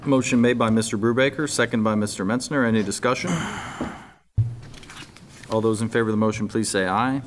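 A man chairing a city council meeting, briskly calling motions and votes into a desk microphone. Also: on the low side, fairly even intonation, noisy background. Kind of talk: formal meeting procedure. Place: council chamber.

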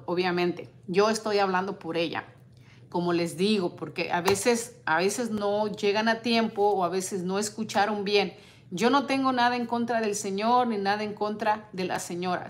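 A woman in her forties, a vlogger talking on a home livestream and reading out viewer comments in an earnest, indignant but measured way. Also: medium pitch, fairly bright tone. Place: living room at home.

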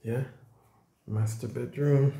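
A man's voice: mid-range voice, fairly even intonation, slow pace, warm tone, breathy edge. A man giving a casual walking tour of his house on a handheld phone, chatting to viewers and calling them guys throughout. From Caribbean. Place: house and yard, indoors and out. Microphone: handheld phone camera, filming while walking.

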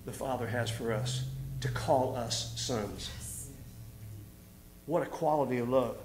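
A man's voice: mid-range voice, measured pace, music in the background. A middle-aged man preaching a sermon from a pulpit, reading scripture aloud and building in fervor. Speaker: pastor. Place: church stage at a wooden pulpit. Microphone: pulpit microphone. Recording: roomy church hall sound.

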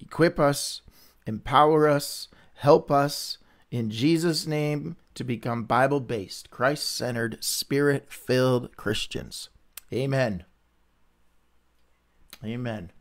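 Guy with a runny nose, upbeat, chatting with viewers before reading scripture, average pitch. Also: slow. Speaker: host of a Bible reading livestream. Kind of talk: casual livestream chat and scripture reading.

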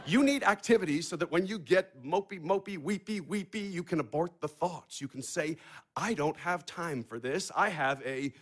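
A caustic, cynical young man in an audio theater drama. His voice sits a little high for a man. He lectures bluntly and brusquely, with dry sarcasm and a practical streak. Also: normal speed, a bit bright. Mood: impatient, mocking. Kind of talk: naturalistic audio theater acting.

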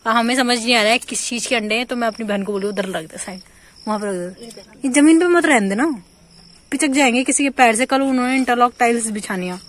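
A woman's voice: fairly high pitch, fast talker. A young woman vlogging casually outdoors on a phone, chatting to the camera about what she is doing. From India. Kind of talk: casual vlog chat. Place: outdoors at home and in a field.

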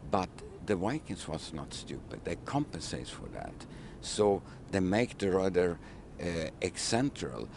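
Very deep-voiced older man. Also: big pitch swings, creaky, darkish tone, slight background noise. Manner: halting, searching for words, broken English. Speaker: ship captain. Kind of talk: outdoor interview. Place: outdoors on a wooden ship at a city waterfront. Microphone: handheld mic held by interviewer.

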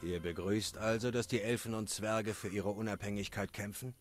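A man's voice: fairly low pitch, steady tone, normal speed, lightly acted. A man voicing a stoic, terse monster hunter in a fantasy video game, matter-of-fact in his delivery. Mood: stoic, inquiring. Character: stoic male monster hunter, terse wanderer without memory. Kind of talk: scripted game dialogue.

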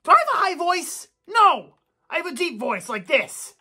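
A man's voice: very high-pitched, very animated, moderate pace, sharp tone, faint music behind, heavily acted. A man playing a boastful, touchy young gamer who talks cocky and gets defensive when teased. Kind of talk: comedy gameplay sketch.

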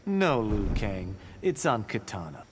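An actor playing a cocky, competitive young warrior monk in a video game, boastful and taunting, over heavy noise. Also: male voice, fairly low pitch, sing-song, measured pace. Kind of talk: video game fight-intro dialogue.

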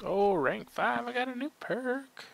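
A man's voice: extremely high pitch, expressive, a little slow, creaky. A man giving casual, sarcastic video game commentary, grumbling and swearing freely. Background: game sound effects and ambience.

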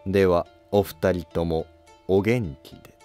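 A man acts out an ambitious noble of an old imperial court. He speaks slowly in a fairly low voice, courteous and self-assured, with music beneath the drama dialogue. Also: steady tone, mellow. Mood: smooth, defiant. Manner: polite, measured, self-assured.

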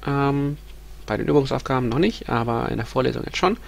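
A man's voice: mid-range voice, fairly quick, gravelly. A man in his thirties with a stuffy nose from a cold, giving a recorded university lecture over slides, hesitant with many ums and restarts. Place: small room at home.

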